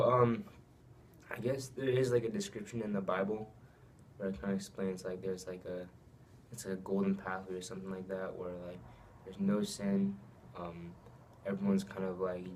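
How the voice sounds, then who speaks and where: A young man from Saipan who grew up in Japan answers questions in a very low, even voice. He hesitates often and fills gaps with ums and likes. Faint noise hums behind him. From Saipan, raised in Japan. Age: young adult. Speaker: student athlete interviewee. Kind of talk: casual interview answers.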